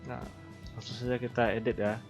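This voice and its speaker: A man hosting a casual software tutorial. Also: medium pitch, flat delivery, brisk. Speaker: tutorial host. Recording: slightly dull, lo-fi voice recording. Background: background music.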